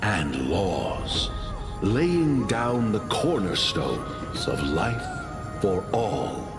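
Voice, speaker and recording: A man playing a grave teller of ancient myth in a video game, narrating in a solemn, deliberate, portentous way. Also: low-pitched, sing-song, over loud music, exaggerated voice. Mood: solemn, reverent.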